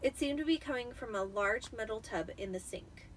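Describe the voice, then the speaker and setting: A woman with a fairly high voice reads a story aloud with dramatic flair. She sounds tipsy, and there is faint noise behind her. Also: normal speed, recorded from a distance. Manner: theatrical, expressive. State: tipsy from wine. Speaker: amateur storybook reader.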